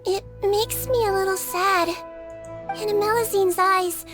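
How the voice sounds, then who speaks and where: A woman voicing a small, gentle, childlike creature who is a composed head nurse in a video game, speaking in a polite, measured way. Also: extremely high pitch, fairly even intonation, over music. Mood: wistful, earnest. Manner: measured, polite, softly earnest. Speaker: doctor and nurse.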